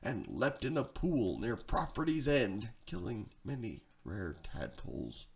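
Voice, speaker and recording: A man's voice: on the low side, very animated, a little slow, gravelly. A man reading a darkly comic rhyming tale in a theatrical, rhythmic storytelling style. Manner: dramatic, sing-song rhythmic delivery. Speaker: storyteller, reader.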